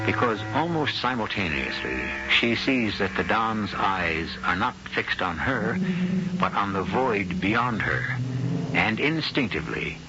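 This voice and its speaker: Low, measured radio drama narrator man. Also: background noise. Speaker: narrator and host. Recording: dull old radio broadcast with music.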